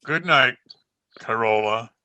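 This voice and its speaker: An actor playing a tall, wary killer in an old radio suspense play, talking in curt, suspicious phrases. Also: male voice, medium pitch, takes it slowly, bright, forceful tone, dry recording. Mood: guarded. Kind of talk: 1940s radio suspense acting.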